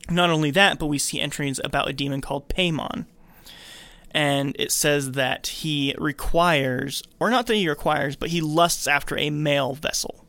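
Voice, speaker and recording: A man hosting a podcast, casually retelling a film's story in an animated storytelling way. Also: a little high, moderate pace, dry recording. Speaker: podcast host recapping a film. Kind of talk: casual film recap narration.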